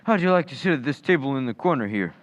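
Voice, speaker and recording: An eager, bumbling American schoolboy, acted by a man in an old-time radio comedy. He is folksy and obliging, with a voice of middling pitch. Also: expressive, fast, close to an everyday voice.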